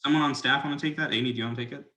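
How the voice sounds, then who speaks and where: A man leading a team, explaining things in a casual, measured conversational way. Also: medium pitch, steady tone, bright, forceful tone. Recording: echoey room sound.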